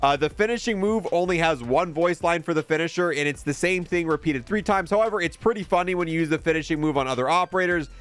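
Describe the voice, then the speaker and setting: Higher-voiced man, casual game commentary. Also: fast, hardly any pauses, a bit bright, clean tone, soft background music. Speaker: gaming video creator reviewing a game bundle.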